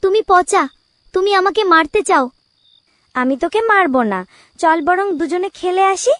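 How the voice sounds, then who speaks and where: Small girl for cartoon horror tale, extremely high childlike voice, dry sound. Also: expressive, moderate pace, fairly bright tone, dry recording. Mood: eerie coaxing. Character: ghost of a little girl and a frightened small girl. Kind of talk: cartoon voice acting.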